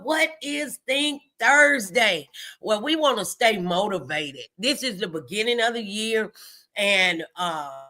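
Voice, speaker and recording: A woman's voice: mid-range voice, pushed and bright. An American woman hosting a motivational morning live show, speaking in an emphatic, encouraging, faith-filled way and repeating phrases for effect.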